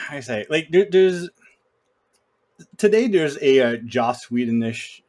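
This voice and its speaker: A man's voice: medium pitch, expressive, slow, a little mellow. A man in casual film discussion, speaking in a wry, opinionated way. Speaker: podcast guest co-host.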